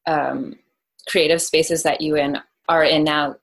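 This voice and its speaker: A woman's voice: low-pitched, level tone, a little slow. An American woman interviewing in a casual conversational style, tentatively rephrasing her questions as she goes.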